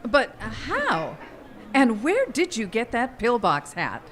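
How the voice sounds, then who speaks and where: An actress playing a wary, inquisitive wife on vacation in a comic radio play, with an expressive, questioning delivery. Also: female voice, a little high, slow pace, heavily acted. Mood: uneasy. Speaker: actor in live radio drama. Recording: noisy live theater stage recording.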